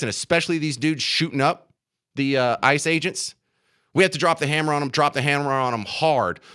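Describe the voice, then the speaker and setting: An American man hosting talk radio with a high-pitched, forceful voice that swings widely in pitch. He delivers an indignant political monologue, hammering and repeating his key phrases into a studio mic. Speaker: radio talk show host.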